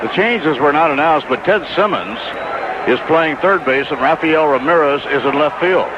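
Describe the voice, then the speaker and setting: A man's voice: fairly high pitch, animated, moderate pace. An American man giving conversational color commentary on a live baseball radio broadcast. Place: stadium broadcast booth. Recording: dull, noisy 1980s AM radio broadcast. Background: loud ballpark ambience and noise.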